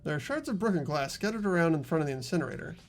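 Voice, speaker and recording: A playthrough host reading a video game's narration aloud in an expressive storytelling way. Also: medium pitch, very fast. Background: faint music.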